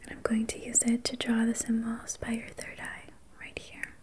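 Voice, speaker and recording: A woman whispering softly and breathily as an ASMR host, guiding relaxation in a soothing, gentle, unhurried manner. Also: fairly high pitch, completely flat delivery. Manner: soothing, slow, gentle personal attention. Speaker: ASMR host and reiki practitioner.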